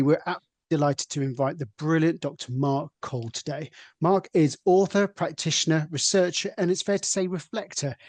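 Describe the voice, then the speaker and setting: Podcast host: man, slightly higher voice, measured scripted reading, dry close sound. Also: darkish tone, dry recording. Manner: measured, deliberate reading of a guest introduction.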